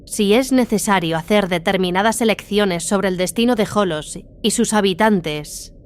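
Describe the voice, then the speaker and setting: Actress as a clear-voiced, resolute battle sister. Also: female voice, mid-range voice, moderate pace, natural voice. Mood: firm, defiant. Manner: firm, commanding, formal. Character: resolute battle sister, devout warrior woman. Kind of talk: audio drama acting.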